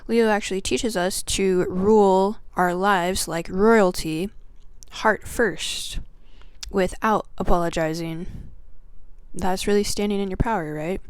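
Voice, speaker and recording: A woman teaching the zodiac signs in a spiritual video that opens with a prayer. She speaks reverently, draws her phrases out, drops into soft whispers and lists qualities one word at a time. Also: medium pitch, fairly even intonation, smooth, dry recording. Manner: slow, drawn-out delivery with soft whispered phrases. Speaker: astrology teacher.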